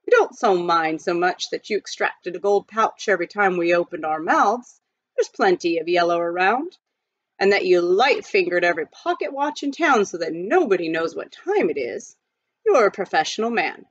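A paunchy frontier justice blusters in folksy speech as a woman reads him in a storybook, his pitch jumping around a lot. The sound is dull and lo-fi, close and dry. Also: no echo. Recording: dull lo-fi recording.

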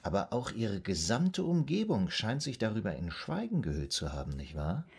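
A patient, methodical psychoanalyst in a period radio drama. His man's voice is low-pitched and formal. He questions and sums up in a calm, measured way. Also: creaky, breathy edge, heavily acted. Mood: measured, probing. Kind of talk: German radio drama acting.

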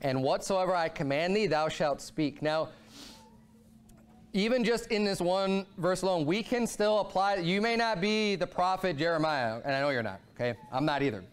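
A pastor in his thirties preaches from the pulpit in a high, bright-edged voice. He talks with fervor and swings from low, quiet asides up to ringing exclamations. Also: moderate pace, clean tone. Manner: animated preaching, voice rising and falling a lot. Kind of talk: sermon. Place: church sanctuary, speaking at a pulpit.

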